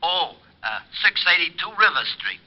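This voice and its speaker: Sheepish dopey desk sergeant on the phone, muffled old radio. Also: male voice, fairly high pitch, very animated, normal speed, noisy background. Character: slow-witted male police sergeant on the phone. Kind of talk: 1940s radio acting.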